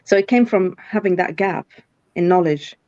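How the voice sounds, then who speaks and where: A woman's voice: on the low side, normal speed, darkish tone, no echo, muffled recording. A middle-aged woman, a CEO guest in a live online interview who talks thoughtfully with many fillers and restarts. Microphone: video call.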